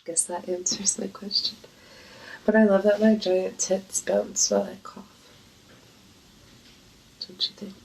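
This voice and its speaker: A woman with a congested, creaky voice and a cold, chatting casually and intimately to viewers in a flirty, playful manner. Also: mid-range voice, flat delivery, measured pace, long pauses, a little mellow, a little hiss or hum, low-quality recording.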